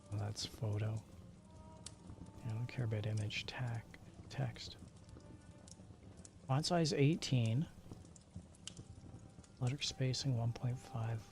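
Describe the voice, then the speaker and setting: Guy muttering to himself on stream, kinda creaky voice, half whispering a lot, music going in the back. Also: mid-range voice, fairly even intonation, slow pace, long pauses. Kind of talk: casual livestream chat while troubleshooting settings.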